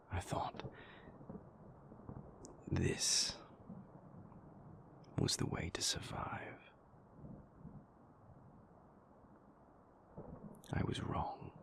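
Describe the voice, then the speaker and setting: Low, gravelly, whispery brooding vampire man acting. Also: full character voice. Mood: rueful, regretful. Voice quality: breathy, gravelly near-whisper. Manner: halting, measured, formal confessional phrasing. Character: brooding, remorseful male vampire boyfriend, former royal enforcer. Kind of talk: intimate audio roleplay monologue.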